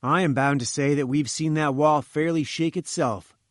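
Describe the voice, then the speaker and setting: A man's voice: a little high, moderate pace, no echo, clean studio sound, close to an everyday voice. A man voicing a wry, awed companion in an audiobook adventure story. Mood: earnest. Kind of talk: audiobook character dialogue.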